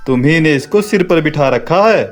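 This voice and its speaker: A man's voice: a little high, expressive, normal speed, lightly acted. A man puts on an outraged, domineering father from an audio storybook, guarding family honour. He scolds indignantly in a raised voice, with music underneath. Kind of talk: acted audio story dialogue.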